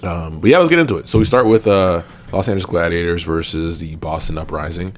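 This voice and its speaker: A man co-hosting a casual esports podcast, talking with a slightly raspy, sniffly voice from a cold, loose and filler-heavy. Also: low-pitched, fast. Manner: relaxed, lots of ums and you-knows. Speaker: podcast co-host.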